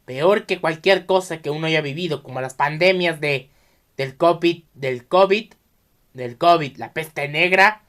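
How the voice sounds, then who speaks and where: A man with a Mexican accent hosting an informal solo explainer podcast, halting and repetitive as he keeps restarting his sentences. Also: fairly high pitch, fairly bright tone. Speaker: video podcast host.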